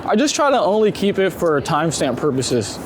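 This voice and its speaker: Animated, higher-voiced man chatting on the street. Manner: excitable, slangy, quick to gush. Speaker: street photographer being profiled. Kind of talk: casual chat while walking. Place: city street, outdoors. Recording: outdoor street noise, passing traffic. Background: urban ambience and vehicles.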